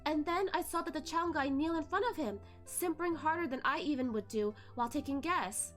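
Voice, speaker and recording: A woman's voice: high-pitched, brisk, a bit bright, soft background music, voice pushed up. A woman voicing a bitter, vengeful male ghost, a former courtesan, in a hateful, mocking, dramatic storybook read-aloud. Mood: bitter, scornful.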